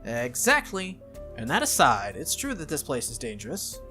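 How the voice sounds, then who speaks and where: A brisk teenage schoolgirl from an anime visual novel, acted out by a playthrough reader. She is confident and needling, with a bright, swooping delivery over faint music. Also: mid-range voice, huge pitch swings. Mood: firm.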